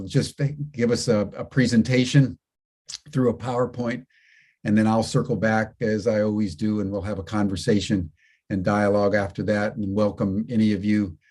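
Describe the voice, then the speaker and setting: A man hosting an online program, speaking in a measured, hesitant way as he welcomes a guest. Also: fairly low pitch, darkish tone, husky. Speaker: host.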